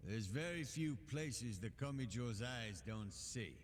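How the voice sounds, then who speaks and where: A man's voice: medium pitch, expressive, a little slow, a little hiss or hum, natural voice. An actor playing a touchy, devoted male gang henchman in a video game dub, curt and defensive in his speech. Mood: taunting.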